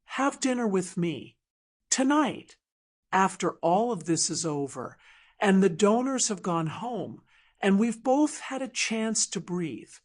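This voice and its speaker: Woman voicing an earnest, slow-spoken contractor in love. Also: medium pitch, expressive. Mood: tender, resolved. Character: gruff but tender man, a contractor in love.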